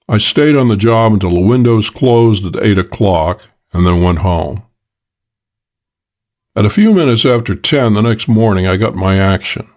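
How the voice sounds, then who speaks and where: A man's voice: fairly low pitch, darkish tone. A man reading scripted first-person detective fiction as an audiobook narrator.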